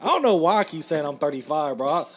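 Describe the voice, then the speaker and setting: A man's voice: a little high, big pitch swings, quick pace. A man in his mid-thirties co-hosting a podcast, rambling in casual chat, joking, and often tagging sentences with "you know what I'm saying". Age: 30s. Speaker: podcast co-host.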